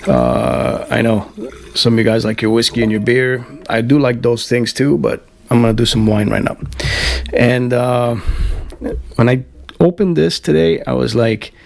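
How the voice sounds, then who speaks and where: A man's voice: medium pitch, moderate pace, creaky. A middle-aged man from Canada chatting casually to camera at home, rambling with lots of fillers and restarts and the odd swear. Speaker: band vocalist hosting a web show. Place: home, Florida.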